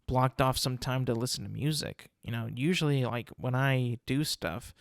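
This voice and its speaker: Brief: young male podcast host, stuffy nose, loose rambling delivery full of fillers, mid-pitched voice, dry close sound. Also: moderate pace, creaky, dry recording. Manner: rambling, many fillers and restarts. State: congested, sniffling. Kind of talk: casual solo podcast talk.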